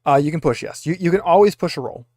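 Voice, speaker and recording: Guy running a tabletop rpg, voice a bit on the high side, really dry sound, walking people thru rules. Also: expressive, quick pace, warm tone, dry recording. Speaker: game master. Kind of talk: running a tabletop roleplaying game, explaining rules.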